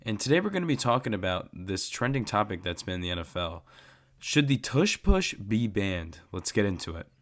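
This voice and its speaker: Young male vlog host, congested from a cold, lowish voice, passionate and repetitive. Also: big pitch swings, normal speed. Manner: fired-up, repeats himself for emphasis. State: stuffed up with a cold. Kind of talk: casual sports opinion vlog.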